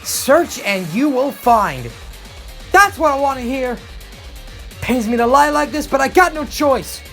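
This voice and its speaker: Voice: sits high, expressive, measured pace, gravelly, a bit bright, soft background music, pitched above the performer's own voice, full character voice. A person voicing an earnest, protective teenage boy animator in anime game voice lines, speaking emphatically. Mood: determined, urgent. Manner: emphatic, dramatic delivery.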